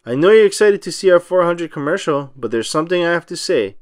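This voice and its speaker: A man's voice: on the high side, expressive, fast talker, no room sound, studio quality, close to an everyday voice. A man voicing a simple, good-hearted cartoon starfish, goofy and guileless, in a storybook reading. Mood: nervous.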